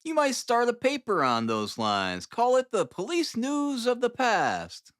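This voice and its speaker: Man playing an affable, teasing chap, very animated. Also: very high-pitched, unhurried, pitched above the performer's own voice, exaggerated voice. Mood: amused, brisk. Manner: wry, jovial. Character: affable, teasing male companion of the detective. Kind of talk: audiobook character acting.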